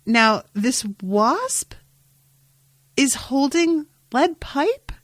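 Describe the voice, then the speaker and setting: A woman hosting a podcast, casually recapping an episode in an animated, amused, sometimes sweary way. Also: sits high, very slow, no room sound. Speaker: podcast host.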